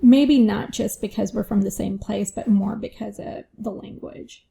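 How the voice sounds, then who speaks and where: A woman's voice: mid-range voice, fairly even intonation, a little slow, gravelly, warm tone. A woman financial advisor answering interview questions in a reflective way, often adding "you know" and "right?".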